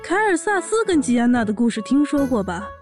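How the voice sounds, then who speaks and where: A woman's voice: high-pitched, very animated, fairly quick, darkish tone, soft background music. A woman playing a brash, boastful pregnant orc warrior, a sassy and bossy older sister, in a fan audio drama. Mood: wry, gossipy. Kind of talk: audio drama acting and narration.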